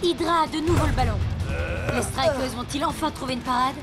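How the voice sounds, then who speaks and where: Actress as keen female cartoon match commentator, high voice, loud music behind. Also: a little fast, close to an everyday voice. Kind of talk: cartoon sports commentary, French dub.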